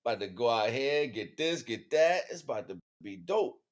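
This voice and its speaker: A man's voice: medium pitch, lively intonation, normal speed. An American man speaking in a casual, rambling livestream monologue, slangy and repetitive, often checking that listeners follow. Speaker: livestream host.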